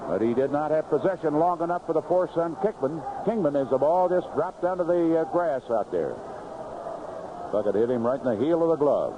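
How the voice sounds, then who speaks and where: Animated American baseball radio announcer, noisy vintage broadcast. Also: male voice, fairly high pitch, brisk, lo-fi sound. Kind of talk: live radio sports commentary, 1970s. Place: outdoor ballpark broadcast booth.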